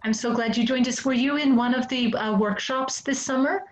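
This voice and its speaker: A woman hosting an online group discussion over a video call, friendly and halting with frequent ums as she welcomes participants. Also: high voice, fairly even intonation, a little hiss or hum, poor audio.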